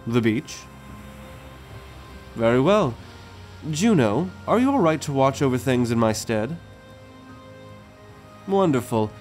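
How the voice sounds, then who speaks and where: A man playing a confident, affectionate merman prince in a gentle, teasing, courtly manner for an ASMR roleplay. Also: mid-range voice, lively intonation, slow pace, long pauses. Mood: playful, fond. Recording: music under the voice.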